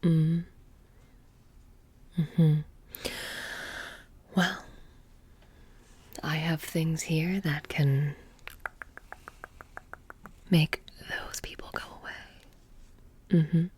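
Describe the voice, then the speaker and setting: A low, breathy witch, played by a woman, keeps a haunted shop in this soft-spoken ASMR roleplay. She talks very slowly and coaxingly, slipping in and out of whispers with a sly, mysterious air. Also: fairly even intonation, full character voice. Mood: probing, coaxing. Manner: slow, hushed, slipping in and out of whispers.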